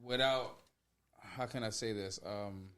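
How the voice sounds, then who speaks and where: A man with a New Orleans accent on a casual podcast, halting as he searches for words and peppering in slang. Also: fairly low pitch, fairly bright tone, smooth. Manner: halting, searches for words, says "dog" and "bro". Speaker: podcast co-host.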